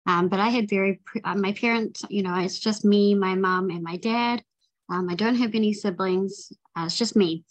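A woman's voice: mid-range voice, fairly even intonation, normal speed, poor audio. A young woman with a New Zealand accent, sharing her recovery story in a tired, rambling way full of ums and restarts. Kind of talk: personal recovery share.

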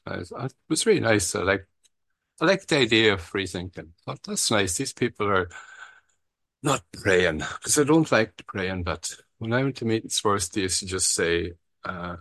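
A man's voice: low voice, creaky, fairly bright tone, no echo. A man with an Irish accent telling, in a frank and rambling way, how he started a recovery meeting, often saying "you know" and swearing casually. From Ireland. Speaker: recovery meeting founder sharing his story. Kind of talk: casual personal share.

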